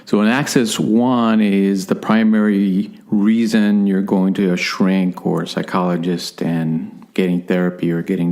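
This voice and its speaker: A man co-hosting a relationship podcast as the psychology expert, explaining terms in a measured way. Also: on the low side, gravelly, a little mellow.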